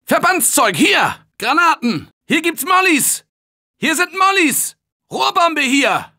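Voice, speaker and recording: An upbeat office worker turned zombie survivor for a video game. His bright voice swings widely in pitch as he urges the team on, unhurried between the calls. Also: very animated, full character voice. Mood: calling out. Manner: urgent encouraging team calls, shouting under attack. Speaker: game character voice. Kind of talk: video game voice lines, dubbed.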